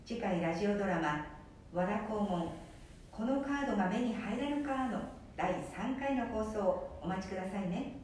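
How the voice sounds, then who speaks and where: A woman hosting a radio program, gently explaining and introducing the drama. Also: lively intonation, normal speed. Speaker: radio show host. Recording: echoey room, distant mic, faint rumble.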